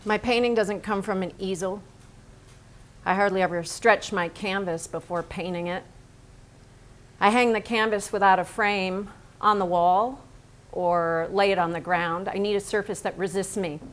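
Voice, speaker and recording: Woman doing an intense self-assured painter guy in a stage drama, short deliberate declarative lines, blunt. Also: medium pitch, fairly even intonation, natural voice. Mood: intense, absorbed. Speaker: stage actor. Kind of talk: theatrical staged reading.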